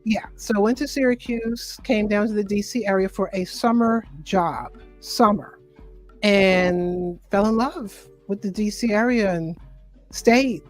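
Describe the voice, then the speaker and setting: A woman's voice: mid-range voice, a little slow, faint music behind. Chatty New woman in her forties. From Queens, New York. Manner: chatty, warm affirmations, storytelling. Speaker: guest, wellness coach and poet. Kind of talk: casual interview chat.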